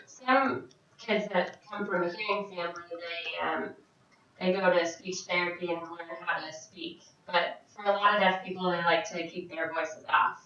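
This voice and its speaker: A woman voicing a signed question-and-answer vlog as an interpreter, speaking in short, halting phrases with frequent restarts. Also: on the low side, steady tone, bright, forceful tone. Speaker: sign language interpreter voicing a Deaf vlogger. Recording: very echoey, distant, dull sound.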